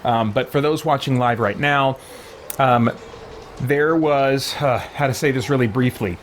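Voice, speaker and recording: A man with a mid-range voice hosts a game livestream in an informal, chatty way. He rambles and thinks out loud as he talks. Clear noise sits behind his voice. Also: mid-range voice, a little slow. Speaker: live streamer hosting a game playthrough. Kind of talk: casual livestream chat.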